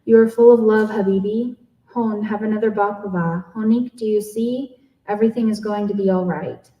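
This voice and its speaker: A woman's voice: mid-range voice, fairly even intonation, normal speed, mellow, close to an everyday voice. A woman voicing a loving, reassuring grandmother in a story reading. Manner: tender, reassuring. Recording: echoey room, distant microphone.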